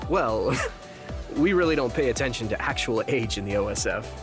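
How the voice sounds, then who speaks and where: A man's voice: mid-range voice, animated, normal speed, lightly acted. Game hero, played by a man: young soldier, earnest, friendly, easygoing, medium register, music bed. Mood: curious, good-natured. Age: young adult. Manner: friendly, sincere, open. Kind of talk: video game voice acting, story cutscene dialogue. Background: background music.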